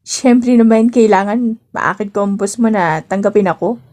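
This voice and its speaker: A woman with a Filipino accent voicing an eager, playful young jobseeker in an audio drama, casual and expressive. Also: medium pitch, moderate pace, close to an everyday voice.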